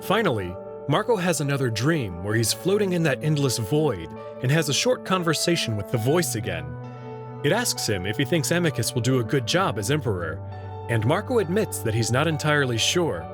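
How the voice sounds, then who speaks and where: A man's voice: medium pitch, very animated, a little fast, music in the background. A man narrating a scripted story expressively as the host of a visual novel reading channel.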